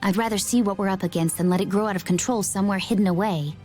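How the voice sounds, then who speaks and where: A woman's voice: medium pitch, fast, darkish tone, close to an everyday voice. A woman plays a poised young adventurer in a video game. Her voice sits in the middle register, composed and playfully teasing, with faint music behind. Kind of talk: video game cutscene acting.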